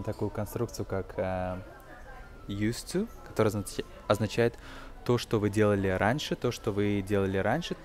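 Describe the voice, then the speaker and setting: A young man with a fairly low voice teaches English through a film. He explains casually and haltingly, dropping in English example phrases, with some music around him. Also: darkish tone. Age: 20s. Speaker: English teacher, video host. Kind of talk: casual lesson commentary on a film.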